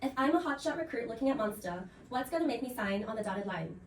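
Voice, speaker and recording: A woman's voice: fairly high pitch, expressive, quick pace. A woman hosting a student role play like a game show, with a playful, jokey, theatrical scripted delivery. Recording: roomy, distant mic, loud music.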